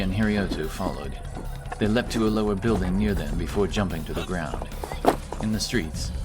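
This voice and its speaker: A man reading dramatic scripted fantasy narration as an audiobook storyteller. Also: on the low side. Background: music.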